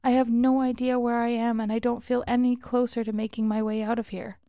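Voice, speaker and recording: A woman's voice: on the high side, flat delivery, a little fast, mellow, no echo, natural voice. A woman playing an anxious, exasperated woman lost in a maze, speaking in a hesitant, pleading and urgent way in an audio story.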